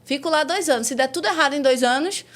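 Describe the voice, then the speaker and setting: A woman in her forties speaking with a Bahian accent, telling her own story in a casual and lively way. She is frank and drops slang and mild curses as she goes. Her voice sits a little on the high side. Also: fast talker, soft background music. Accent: Bahian Portuguese. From Salvador, Brazil. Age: 40s. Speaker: guest.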